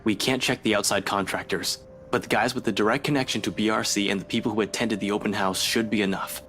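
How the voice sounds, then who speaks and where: A man playing a blunt low-voiced cop. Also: fairly even intonation, quick pace, fairly bright tone, faint music behind, close to an everyday voice. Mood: grim. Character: blunt no-nonsense male police officer. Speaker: police officer.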